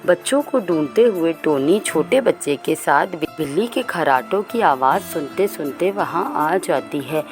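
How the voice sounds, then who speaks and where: A woman's voice: sits low, animated, unhurried, few pauses, over music. A woman narrating a scripted children's story as the storyteller.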